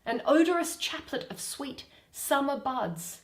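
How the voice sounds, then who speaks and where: A woman voicing a proud, indignant fairy queen in measured, declamatory Shakespearean verse. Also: high-pitched, expressive, echoey room. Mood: aggrieved.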